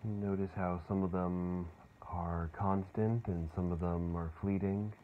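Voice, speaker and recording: A man leading a guided meditation in a soothing, unhurried way, leaving long pauses. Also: low-pitched, flat delivery. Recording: muffled phone-like sound with clear background noise.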